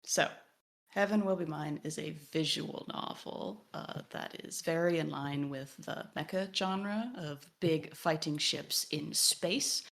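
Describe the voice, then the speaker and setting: A woman's voice: low voice, takes it slowly, gravelly. A woman co-hosting a podcast, giving a conversational explanatory summary and leaning emphatically on key words. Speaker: podcast co-host.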